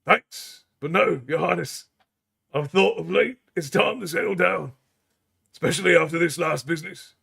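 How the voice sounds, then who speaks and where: A man voicing a relaxed, friendly male mercenary in a slightly raised voice. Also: a little high, moderate pace, gravelly, breathy edge, voice pushed up, exaggerated voice. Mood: easygoing. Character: easygoing male mercenary, grinning.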